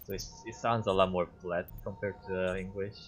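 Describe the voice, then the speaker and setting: A man whose first language is Korean, streaming a game and thinking aloud in a halting way with many fillers. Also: low voice, steady tone. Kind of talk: casual gameplay commentary.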